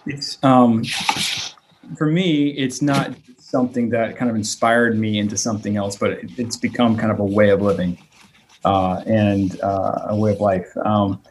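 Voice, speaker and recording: A man's voice: lowish voice, level tone, measured pace, darkish tone, a little hiss or hum. A man in his thirties from the Virginia area, answering interview questions in a hesitant way with many fillers and restarts. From Virginia / West Virginia area. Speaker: interviewee, nonprofit leader.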